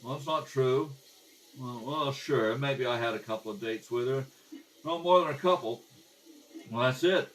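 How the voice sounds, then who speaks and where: A man playing a defensive, evasive ex-convict fry cook in an amateur radio crime drama. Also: medium pitch, normal speed, a bit bright, slight background noise, lightly acted. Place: at a kitchen table. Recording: slightly distant home microphone.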